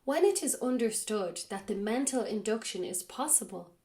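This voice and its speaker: A woman hosting a podcast, reading a spiritual text aloud in a slow, measured way and then commenting on it, her voice a little raspy from a cold. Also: a little high, lively intonation, warm tone. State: has a cold. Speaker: podcast host.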